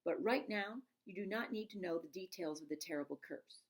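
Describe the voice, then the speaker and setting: A woman reading a storybook aloud in an expressive, emphatic narrating style. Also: fairly low pitch, brisk. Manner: dramatic, emphatic, stops to explain words. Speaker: storybook reader.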